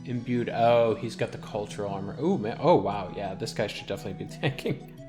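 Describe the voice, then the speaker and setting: A man's voice: lowish voice, brisk, over music. A man giving casual, rambling gameplay commentary as a video host, thinking aloud as he plays.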